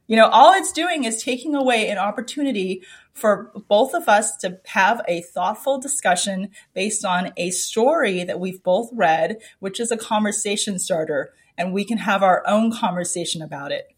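A middle-aged woman with an American accent speaking as an interview guest, telling personal anecdotes in an animated, emphatic, conversational way. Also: mid-range voice, moderate pace, husky. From United States. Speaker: interview guest, children's book author.

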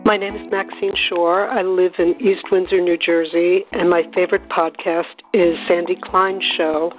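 Even-toned woman on a dull recording. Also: on the low side, moderate pace, music in the background. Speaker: listener recommending a favorite podcast. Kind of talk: spoken listener testimonial. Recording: dull lo-fi listener message.

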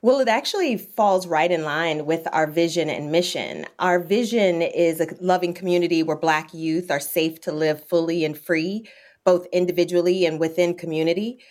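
An American woman speaks as a guest in an interview, explaining her work. Her voice is pitched a little low for a woman. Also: normal speed, a little mellow. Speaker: interview guest, nonprofit executive director. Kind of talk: interview answers.

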